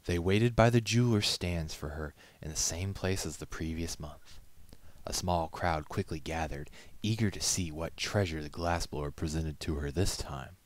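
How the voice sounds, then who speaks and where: A man's voice: deep voice, normal speed. A man reading a story aloud as scripted storybook narration. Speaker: amateur story reader.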